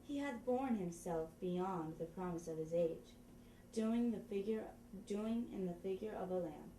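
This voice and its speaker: Casting: woman playing formal stage messenger reporting from war, soft dark tone, picked up far from the mic in a roomy space. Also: medium pitch, measured pace, lightly acted. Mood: earnest, formal. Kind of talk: Shakespearean stage dialogue, scene reading. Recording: roomy, distant, faint noise.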